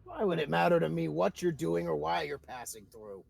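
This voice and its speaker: A man's voice: a little high, lively intonation, normal speed, gravelly. A man voicing a grumpy, irritable talking door guardian in a tabletop game, complaining in an exasperated manner.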